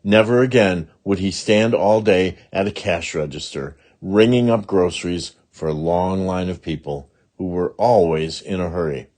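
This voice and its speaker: A man reading a children's chapter book aloud in an expressive storytelling style. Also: low voice, normal speed, hardly any pauses. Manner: acts out character dialogue. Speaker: audiobook reader.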